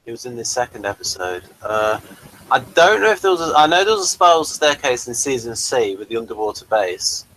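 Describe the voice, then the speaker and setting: A man's voice: mid-range voice, big pitch swings, a little fast, a bit bright, a little hiss or hum, poor audio. A man co-hosting a review show, talking in a casual, conversational style.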